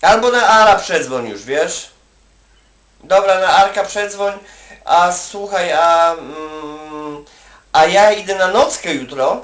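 A man's voice: sits high, a bit bright. A man from Poland chatting casually on the phone, giving advice in a rambling, colloquial way full of "you know" tags. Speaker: Polish migrant worker advising an acquaintance. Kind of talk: casual one-sided phone chat. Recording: echoey room, distant from the mic.

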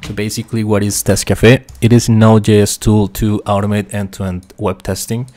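A young man from Costa Rica with a Spanish accent, a QA engineer talking through a software tutorial with many fillers and restarts. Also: lowish voice, level tone, normal speed, no room sound. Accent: Costa Rican Spanish. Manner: hesitant, many fillers and restarts, quietly talks to himself. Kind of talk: screen-share tutorial explanation.

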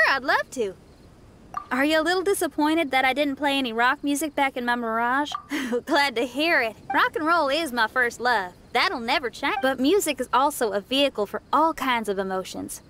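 A woman's voice: high voice, expressive, normal speed, a little hiss or hum. A woman voicing an upbeat, friendly young female rock musician in a video game, speaking casually. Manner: casual, colloquial, warm enthusiasm. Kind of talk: video game character dialogue.

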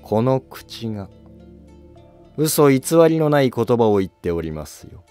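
A man playing a calculating, courteous court noble in a historical drama, polite, measured and self-assured. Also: medium pitch, very animated, mellow, clean tone, faint music behind. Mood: smooth, defiant.

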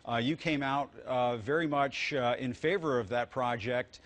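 A man's voice: medium pitch, moderate pace, a bit bright. An American man in his forties, a news anchor putting questions in a broadcast interview. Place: TV news studio.

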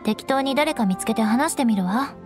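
Actress as a haughty young aristocrat, high composed voice, frank and aloof, anime game with soft music. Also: female voice, animated, moderate pace, natural voice. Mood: composed, instructive. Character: proud, cool young noblewoman, frank and aloof.

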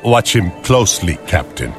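Gruff sardonic seaman, lively pitch. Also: moderate pace, heavily acted. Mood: wry, warning. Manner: wry, blunt. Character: gruff, sardonic Chinese seaman, brawny loyal second-in-command. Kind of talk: animated game cutscene acting. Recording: game audio with loud music behind.

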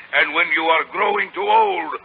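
A man's voice: on the high side, measured pace, vocal fry. An actor playing a greedy, menacing Italian puppet showman in 1930s radio style, bombastic, with an Italian accent and broken English. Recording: muffled, noisy 1930s radio broadcast.

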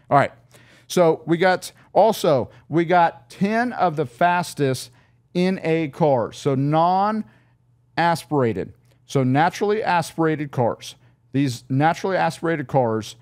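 A man's voice: fairly high pitch, darkish tone. A middle-aged man hosting a car podcast in casual, rambling chat, often restarting his sentences, recorded on a studio mic in a showroom set. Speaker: podcast host. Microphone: studio mic on a boom arm.

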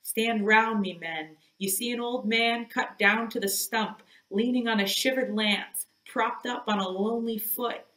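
A woman's voice: medium pitch, fairly bright tone, pitched above the performer's own voice. A woman reading an audiobook, voicing an obsessed old male sea captain who declaims with grim, imperious force, in an echoey room.

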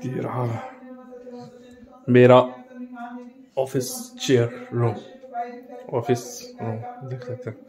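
Young male vlogger, chatty tour-guide manner, phone-mic sound, loud music bed. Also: medium pitch, level tone, takes it slowly, pauses often, rough recording. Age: young adult. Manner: chatty, showing viewers around home. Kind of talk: casual vlog narration. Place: rooftop and rooms of a house. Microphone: handheld phone camera. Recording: vlog with loud music underneath. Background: loud background music.

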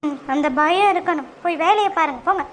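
Woman playing a high-voiced scolding mom. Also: brisk. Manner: scolding, mock-threatening. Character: strict, threatening mother of the house. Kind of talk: family comedy skit. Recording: noisy background.

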